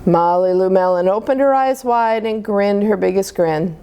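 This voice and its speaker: A woman reading a picture book aloud as a storyteller, with an expressive, deliberate delivery meant for young children. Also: medium pitch, clean tone, lo-fi sound.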